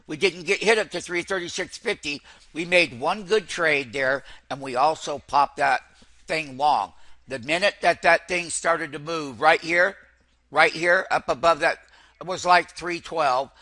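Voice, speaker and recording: A man giving excitable, hype-driven live trading commentary as a host, repeating phrases and addressing his viewers like friends. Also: fairly high pitch, measured pace. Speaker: stock trader and host.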